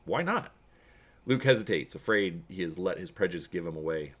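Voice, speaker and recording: A man giving a dramatic narrated reading of an adventure story, expressive in his storytelling. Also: sits low, fast talker. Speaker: reader and host of a gamebook read-through.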